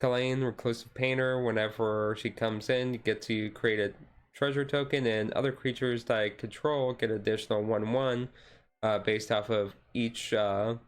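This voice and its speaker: A man giving casual commentary on a card deck as a streamer, thinking aloud in a halting way. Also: medium pitch, steady tone, smooth.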